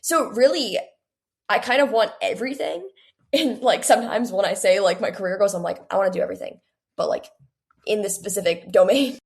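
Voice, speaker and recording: A young woman of about eighteen with noticeable vocal fry in a medium-pitched voice. She talks casually and earnestly as an interviewed author, in a chatty, conversational way peppered with "like". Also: brisk.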